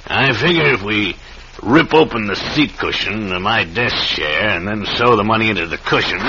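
An actor playing a cold-blooded, scheming crooked marshal in an old-time radio western, gruff and folksy. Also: male voice, lowish voice, lively intonation, moderate pace. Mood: sly. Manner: gruff, folksy, starts lines with "yeah". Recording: very noisy, dull old radio recording.

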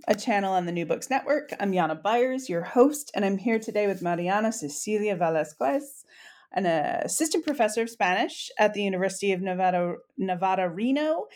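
A woman hosting a book interview podcast, friendly and a little halting. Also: medium pitch, darkish tone. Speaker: podcast host.

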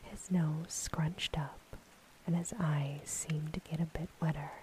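Slow whispering woman reading a story. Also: low-pitched, steady tone, slight background noise, rough recording. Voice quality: breathy, unvoiced whisper. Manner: soft, slow, gentle delivery. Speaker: bedtime story narrator.

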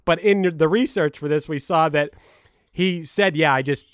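A man co-hosting a casual podcast, animated, swearing and laughing as he talks. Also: on the high side, moderate pace, smooth, dry recording, clean studio sound. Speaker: podcast co-host. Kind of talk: casual chat.

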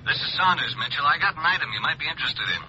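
An actor playing a breezy, slangy American booking agent reporting over the telephone in an old-time radio drama. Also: male voice, fairly high pitch, lively intonation, racing, dull audio, background noise. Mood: breezy, confiding. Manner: slangy, casual. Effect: telephone filter.

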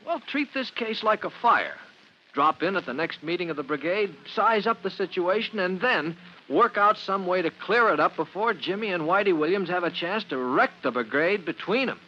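A man's voice: high-pitched, lively intonation, normal speed. An actor playing an earnest, deferential young fireman in an old-time radio drama. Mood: concerned, advising. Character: earnest young fireman, worried older brother. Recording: dull, hissy vintage radio recording.

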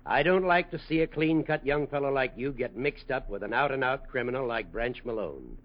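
An actor playing a stern, disapproving male judge in a 1940s radio drama, formal, measured and admonishing. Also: mid-range voice, expressive, close to an everyday voice. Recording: dull old radio recording.

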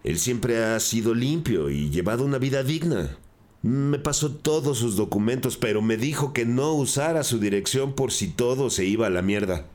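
An actor playing a cornered gang drug dealer in a crime audio drama, defensive, earnest and pleading. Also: male voice, medium pitch, lively intonation, normal speed, darkish tone, close to an everyday voice. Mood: desperate, earnest.

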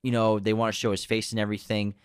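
A man's voice: quick pace, clean tone, no room sound, clean studio sound. Enthusiastic man co-hosting a podcast. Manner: enthusiastic, jumps up in pitch when excited. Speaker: podcast co-host. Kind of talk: casual chat.

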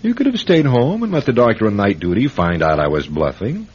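Domineering writer, husky, animated intonation, brusque, obsessive, arrogant, muffled vintage radio drama. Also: male voice, on the low side, brisk. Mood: menacing. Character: arrogant, obsessive famous male writer, rude and scheming. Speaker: actor in a radio play. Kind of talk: classic radio drama acting. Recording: muffled old radio broadcast.

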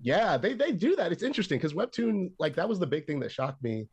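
A man's voice: high-pitched, very animated, brisk, vocal fry, darkish tone, husky. A young man chatting as a podcast guest, rambling with restarts and upbeat energy. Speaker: podcast guest, comic artist and animator. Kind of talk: casual chat.

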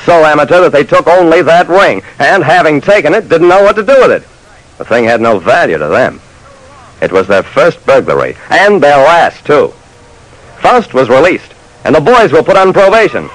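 A man's voice: on the high side, fairly bright tone, slight background noise, rough recording. An actor playing a wry, slangy detective who narrates his case in a clipped old-time radio style. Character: dry, soft-hearted male detective telling his case. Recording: vintage radio broadcast.

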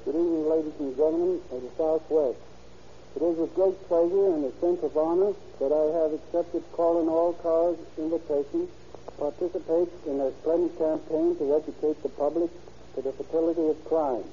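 A man's voice: a little high, steady tone, moderate pace. A man reading a stiff, formal scripted address on 1930s radio, as a police official. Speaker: police chief giving an address. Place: radio studio. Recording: muffled old radio broadcast with hum.

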